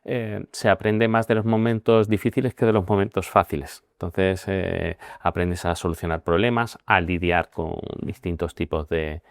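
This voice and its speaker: A man in his forties from Spain, a guest telling his career story in a measured, reflective way with frequent pauses and fillers. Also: low-pitched, fairly even intonation, vocal fry, no room sound, studio quality. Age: 40s. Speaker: guest, managing partner. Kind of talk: conversational interview.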